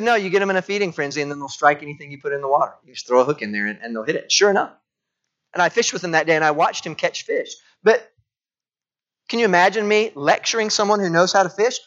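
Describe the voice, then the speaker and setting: A man's voice: sits high, lively intonation, fast talker, a bit bright. A man preaching a sermon as a pastor, telling personal stories and asking the congregation rhetorical questions. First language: English. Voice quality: conversational storytelling with rhetorical questions. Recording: dull lo-fi recording.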